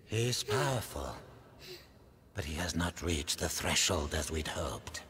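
An actor playing a menacing older inquisitor in a game cutscene, speaking in slow, cold whispers. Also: male voice, low voice, animated, fairly bright tone, a little hiss or hum, heavily acted. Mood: cold menace. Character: menacing old male grand inquisitor.

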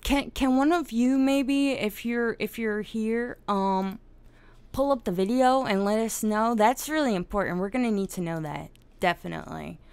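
A woman's voice: fairly high pitch, moderate pace, a little mellow, clear, resonant tone, no room sound. A woman hosting a casual live-streamed discussion, swearing often and laughing as she tells the story. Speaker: podcast host.

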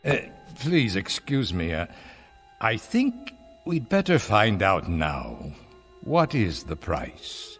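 An old American husband in a radio drama, earnest and full of longing. His delivery is halting and emotional, with lively rises and falls. The sound is very reverberant, with music beneath. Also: lowish voice, husky, heavily acted. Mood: hesitant, anxious. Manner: halting, emotional, repeats himself.

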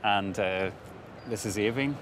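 A man's voice: fairly low pitch, unhurried, a bit bright, slight background noise. A man from Belfast with a Northern Irish accent, answering street questions with some hesitation. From Belfast, Northern Ireland. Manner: hesitant, with fillers.

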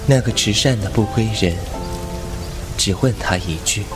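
A man voicing a thousand-year-old male fox spirit who narrates the drama in a calm, measured, detached way. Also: on the low side, over loud music, close to an everyday voice. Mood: musing. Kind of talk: fan audio drama acting.

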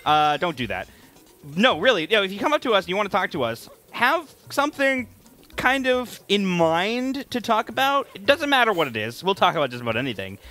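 A man doing casual gaming commentary, talking in an emphatic, excitable way and repeating words for emphasis. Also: high-pitched, brisk, soft background music. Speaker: gaming video creator. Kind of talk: casual co-commentary over gameplay.

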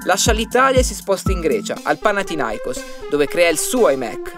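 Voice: mid-range voice, big pitch swings, brisk. Documentary narrator over music. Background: background music.

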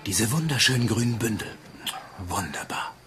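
A man's voice: lowish voice, animated, normal speed, music in the background, lightly acted. A man playing a weak, lovestruck, scheming nephew in a German crime audio drama, emotional in his delivery. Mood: excited relief.

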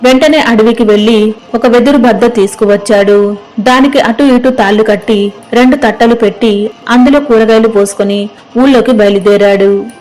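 Casting: female narrator for scripted moral tales, moderately high voice, storytelling read. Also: normal speed, a little mellow, low-quality recording.

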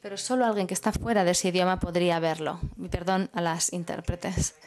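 A woman working as a simultaneous interpreter at a public talk. She speaks in measured phrases and pauses while she searches for the next word. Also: fairly low pitch, fairly even intonation, darkish tone.

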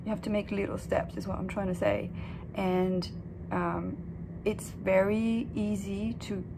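A young woman talks casually into a phone camera in a hotel room. Her voice is soft, thoughtful and a little hesitant, with a gravelly fry at the ends of phrases. A steady hiss of room noise sits behind her. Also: medium pitch, unhurried. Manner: soft, reflective, hesitant with fillers. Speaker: vlogger. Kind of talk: casual vlog talk to camera.

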